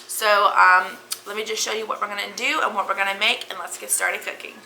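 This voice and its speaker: A hoarse woman in her thirties hosting a casual cooking vlog, chatty and full of ums and likes. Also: mid-range voice, little pitch movement, fairly quick, bright, forceful tone, a little hiss or hum, rough recording. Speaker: cooking channel host.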